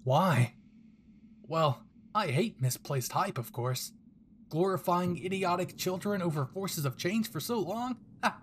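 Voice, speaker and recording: A man's voice: high-pitched, lively intonation, measured pace, a little hiss or hum, pitched above the performer's own voice. A man voicing a cruel, sneering male villain henchman in an anime fan audio drama, theatrically mocking and taunting.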